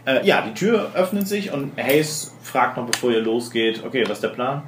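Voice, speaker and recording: A man acts as game master, describing scenes and rulings to his players, often rewording as he goes. His pitch is average for a man. The recording is roomy and clearly noisy. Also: expressive, normal speed. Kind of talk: narrating and refereeing a tabletop role-playing game. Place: indoor room with other players.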